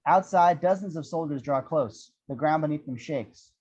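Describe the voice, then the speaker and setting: A man's voice: a little high, normal speed, a little mellow, breathy edge. A man narrating action descriptions at a script table read, with irreverent, wry asides. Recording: dull, lo-fi audio.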